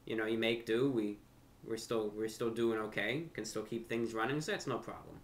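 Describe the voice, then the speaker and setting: A man's voice: on the low side, moderate pace. A young American man giving a casual, conversational monologue to camera, earnest and repeating phrases for emphasis. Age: young adult. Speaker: host of a video channel and radio show. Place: furnished room at home.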